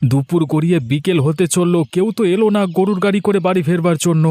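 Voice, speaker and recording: A man's voice: high-pitched, fairly even intonation, fast, dark tone, no room sound, close to an everyday voice. A man playing a humble village bullock-cart driver in a cartoon fairy tale, deferential and a little plaintive. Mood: worried, musing. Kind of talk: animated fairy tale dialogue.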